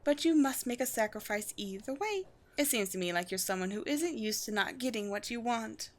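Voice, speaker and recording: A woman voicing a proud, wry vampire queen with a formal, measured, teasing manner in a scripted audio roleplay. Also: a little high, animated, natural voice. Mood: wry, commanding. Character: poised vampire queen, proud, wry, secretly gentle colony leader.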